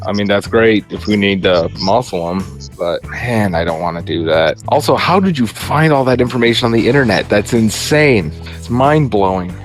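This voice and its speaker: A man's voice: on the low side, animated, moderate pace, hardly any pauses, soft background music. A man playing a tabletop roleplaying game, talking casually in character on a video call. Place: home room, online video call.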